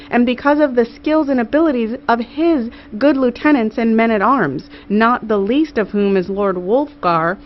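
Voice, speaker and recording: A woman voicing an earnest, gracious queen giving a formal, measured address to her council in an audiobook. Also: medium pitch, natural voice. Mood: proud, grateful. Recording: muffled audio with clear background noise.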